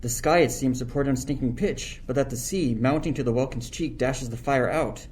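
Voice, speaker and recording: A man's voice: mid-range voice, normal speed, darkish tone, a little hiss or hum, close to an everyday voice. A man playing a tender-hearted young son in a Shakespeare radio play, speaking verse with earnest pleading. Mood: distressed, pleading.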